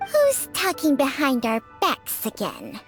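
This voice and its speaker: A woman's voice: high voice, wildly swooping pitch, slow pace, loud music behind the voice, exaggerated voice. A woman voicing an ancient female talking snake in a video game, sly and world-weary, with a theatrical, knowing delivery. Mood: sly, teasing.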